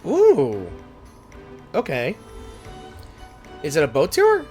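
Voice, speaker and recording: A man's voice: a little high, huge pitch swings, very slow, darkish tone. A man giving casual gameplay commentary and reading game text aloud as he plays. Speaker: gaming video creator. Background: game music.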